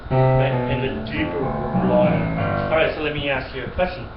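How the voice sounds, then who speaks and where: A man gives a gentle piano lesson to a child, repeating each point and praising often. He sounds distant and roomy through a dull webcam mic. Loud music plays behind him. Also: mid-range voice, steady tone, normal speed. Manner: patient, encouraging, repeats things and praises often. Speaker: piano teacher.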